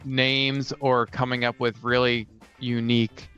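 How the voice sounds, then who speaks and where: A man in casual conversation as a co-host and player, halting as he searches for words. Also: medium pitch, level tone, sharp tone, clean tone. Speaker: podcast co-host and tabletop player. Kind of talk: casual chat about fantasy tabletop games. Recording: dull lo-fi sound with faint music. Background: faint guitar music.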